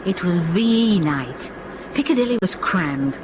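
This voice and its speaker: A woman's voice: medium pitch, expressive, a little slow, close to an everyday voice. A woman acting a chatty, forthright airline passenger in a radio drama, gossipy and a little bossy. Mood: gleeful, reminiscing. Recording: noisy crowded cabin ambience.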